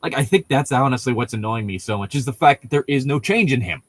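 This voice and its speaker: A man chatting casually as a co-host, critiquing in an exasperated, rambling way with halting rephrasing. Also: mid-range voice, lively intonation. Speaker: co-host reading and mocking a novel. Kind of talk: casual critical chat with read-aloud lines.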